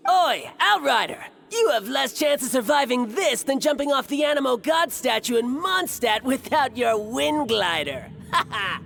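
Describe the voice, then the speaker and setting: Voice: high-pitched, big pitch swings, moderate pace, gravelly, a bit bright, soft background music, full character voice. An actor playing a smug, taunting young thief villain in an anime-style video game, mocking and theatrical.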